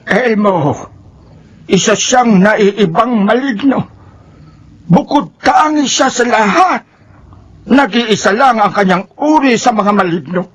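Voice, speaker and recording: An actor playing a stern, fierce older folk healer and exorcist, commanding and declamatory in a radio horror drama. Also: male voice, high-pitched, takes it slowly, long pauses, husky, full character voice. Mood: grave, explaining. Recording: very noisy mix, loud background sounds.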